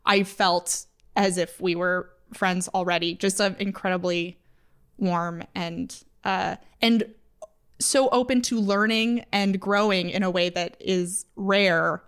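Smooth-voiced woman co-hosting a podcast. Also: medium pitch, steady tone, takes it slowly. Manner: reflective, with light humor. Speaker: podcast producer and co-host. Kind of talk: casual podcast chat.

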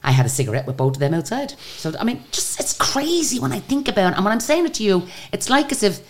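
An Irish woman with a very deep voice, a singer chatting as a guest and telling stories in a lively, animated way. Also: quick pace. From Ireland. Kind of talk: casual interview storytelling.

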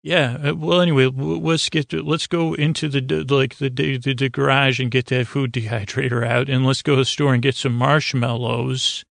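A man with a creaky voice playing one of a doting, slightly dim married couple musing haltingly in improvised comic breakfast chat. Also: medium pitch, level tone, a bit bright, no echo, studio quality. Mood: mild, musing.

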